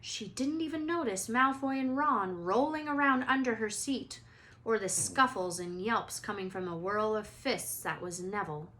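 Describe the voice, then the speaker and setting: A woman's voice: mid-range voice, moderate pace, fairly bright tone. Woman reading a storybook aloud, noisy recording. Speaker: teacher reading a book aloud. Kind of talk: expressive storybook read-aloud.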